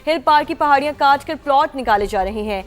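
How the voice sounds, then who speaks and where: A woman's voice: sits high, lively intonation, fast. A woman with a Pakistani accent reading scripted TV news as an anchor, with emphatic headline delivery. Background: news music bed.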